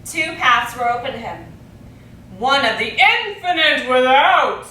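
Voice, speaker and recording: A woman's voice: high-pitched, animated, measured pace, bright, forceful tone, full character voice. A woman acting an emphatic, oracular chorus voice, declaiming theatrically in an absurdist stage play. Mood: declamatory. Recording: very echoey distant stage, noisy.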